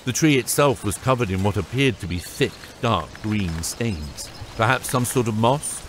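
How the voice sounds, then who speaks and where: A man's voice: on the low side, lively intonation, moderate pace, fairly bright tone, a little hiss or hum. A man narrating a scripted fantasy audiobook.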